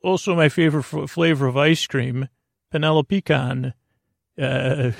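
Creaky-voiced man rambling gently through a monologue. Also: a little high, fairly even intonation, dry recording, clean studio sound. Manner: meandering, halting, with stammered false starts and repeated words; whimsical, self-deprecating silliness. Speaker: host of a bedtime story podcast. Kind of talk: rambling sleep-podcast monologue.

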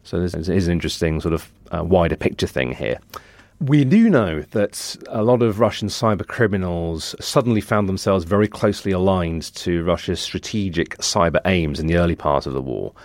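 A man's voice: deep voice, lively intonation, normal speed, darkish tone, no room sound. A British man, a technology reporter, giving discursive, informed analysis on a news podcast with frequent asides.

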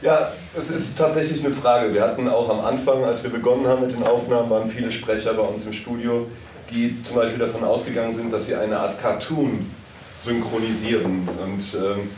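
A man's voice: mid-range voice, fairly even intonation, brisk, a little mellow. A man talking casually as a producer on a live panel at a convention. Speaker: audio drama producer on a panel. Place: echoey convention hall. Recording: roomy, distant, faintly noisy live recording.

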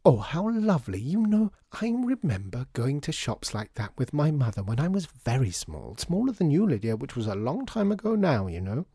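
A man voicing a dithery, genteel old lady in a storybook, breathy, effusive and wistful. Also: medium pitch, sing-song, moderate pace, hardly any pauses, dark tone, dry recording, clean studio sound, voice pushed up. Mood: wistful, gushing. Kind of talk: storybook character voice.

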